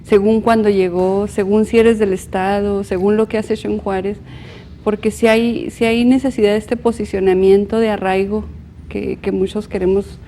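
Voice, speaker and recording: Mellow, creaky northern Mexican woman. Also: medium pitch, flat delivery, moderate pace, a little hiss or hum. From Chihuahua, northern Mexico. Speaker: panel guest, social researcher. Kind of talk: explanatory panel discussion.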